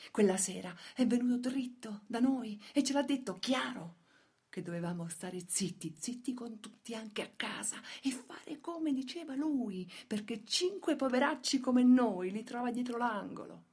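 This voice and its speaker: A woman playing a worker's wife in a radio play, retelling a frightened confession in a hushed, anguished way. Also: fairly high pitch, lively intonation, normal speed, slightly rough. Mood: bitter, afraid. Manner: hushed, anguished, urgent repetitions. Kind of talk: intimate dramatic monologue in a radio play.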